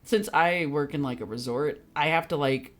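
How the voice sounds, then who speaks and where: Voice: mid-range voice, moderate pace. A young adult game streamer chatting casually with viewers in a sweary, giggly, teasing manner. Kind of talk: casual livestream chat with viewers.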